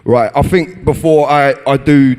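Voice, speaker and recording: Bassy laid-back man in a hall. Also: unhurried, clear, resonant tone. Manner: relaxed, tags lines with "you know" and "man". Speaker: MC giving a workshop. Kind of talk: casual talk to an audience. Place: conference hall. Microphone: handheld stage microphone. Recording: reverberant hall.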